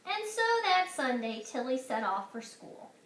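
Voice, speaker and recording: A woman reads a children's storybook expressively in a high, bright voice. The recording is echoey and distant, with faint room noise. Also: big pitch swings, measured pace. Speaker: storybook reader. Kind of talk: expressive children's read-aloud narration.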